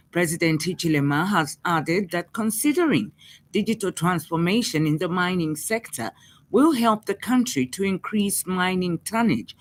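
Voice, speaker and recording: A woman with a Zambian accent reading a scripted radio news bulletin as presenter. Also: on the low side.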